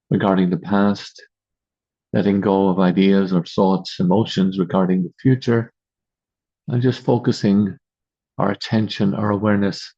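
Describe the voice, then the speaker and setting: A man leading a guided meditation as host, giving slow, calm instructions in measured short phrases with long pauses, recorded over a video call. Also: deep voice. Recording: dull lo-fi video call audio.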